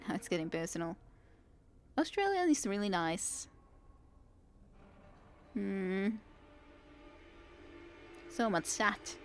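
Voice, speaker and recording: A woman with a Finnish accent, chatting casually as a streamer while she plays and answers her chat. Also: medium pitch. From Finland. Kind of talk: casual gameplay commentary.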